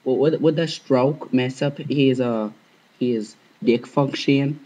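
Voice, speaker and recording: A man's voice: mid-range voice, slow pace, darkish tone. A man voicing a sassy, clueless, worried wife on a prank phone call, repeating questions in slangy speech. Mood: anxious pestering. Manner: sassy, repetitive, slangy, playing dumb. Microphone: telephone.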